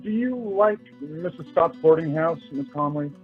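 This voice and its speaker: An actor playing an earnest, polite young suitor in a radio play, courteous but halting and flustered. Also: male voice, a little high, animated, natural voice. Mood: nervous, courting. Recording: tinny phone line with music behind.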